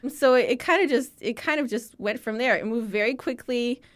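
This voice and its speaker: A woman's voice: fairly high pitch, brisk. A woman in her forties from Chicago, telling her story as an interview guest and voicing the people she quotes. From Chicago, USA. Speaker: guest, archives founder.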